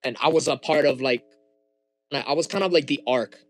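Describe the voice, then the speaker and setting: A young man from Hawaii with a Hawaiian accent, whose first language is Hawaiian, talking as a guest in a casual interview with relaxed fillers and restarts. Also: on the high side, brisk, faint music behind.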